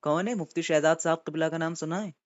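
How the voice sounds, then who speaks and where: A man's voice: steady tone, fast. Insistent man questioning over phone. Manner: probing, insistent, echoes the other's words. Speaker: challenger in a religious debate. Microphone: phone call. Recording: muffled low-fi phone call recording.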